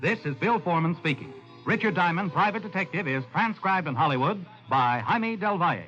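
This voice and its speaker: A man reads programme credits as a formal, polished radio announcer of the mid-century. The voice is fairly high, on a dull old recording with loud music. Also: expressive, fairly quick.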